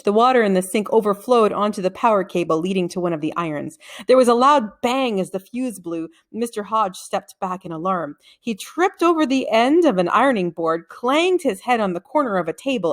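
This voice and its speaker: A woman reading a children's story aloud as a scripted narration, at a medium pitch. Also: expressive, moderate pace, few pauses. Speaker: reader of a children's novel aloud.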